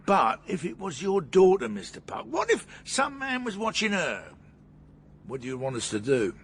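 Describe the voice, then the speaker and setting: A police detective in a radio drama interrogation. His voice sits a little high for a man, measured and insistent as he presses each question. There is faint background noise. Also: huge pitch swings, fairly bright tone, exaggerated voice. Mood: calm, leading. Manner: measured, probing, repeats questions.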